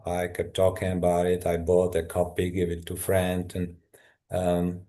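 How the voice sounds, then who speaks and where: A middle-aged man from Czechoslovakia with a Czech accent, a guest telling his story in a halting, thoughtful way with many restarts. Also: low voice, monotone, dark tone. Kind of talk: personal story in a conversation.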